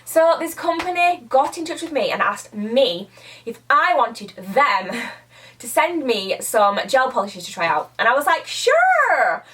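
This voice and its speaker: A woman's voice: high voice, sing-song, measured pace, a bit bright, breathy edge. Young woman, bubbly chatty vlogger, slight cold, indoor camera mic. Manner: chatty, bubbly, restarts mid-sentence. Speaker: beauty YouTuber. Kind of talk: casual nail tutorial vlog. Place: bedroom filming set, indoors.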